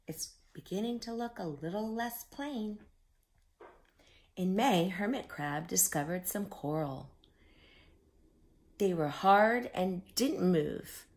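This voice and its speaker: Lady doing kids storytime, really slow n sing-song up and down, bit of creak, explains stuff simply. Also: lowish voice, animated. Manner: explains simply, with drawn-out emphasis. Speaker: children's story reader and teacher. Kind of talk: expressive read-aloud storytelling for young children.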